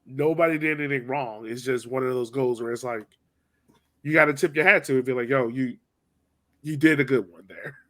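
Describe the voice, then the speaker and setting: A man hosting a sports podcast, recapping a match in casual, slangy, animated storytelling. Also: mid-range voice, a little fast. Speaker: podcast host.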